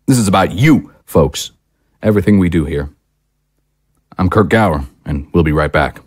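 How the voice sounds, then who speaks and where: An actor playing an alarmist, self-important political talk-show host in an audio drama, grave and emphatic. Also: male voice, sits low, moderate pace, no echo, clean studio sound. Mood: solemn, grateful. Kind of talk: broadcast monologue in audio drama.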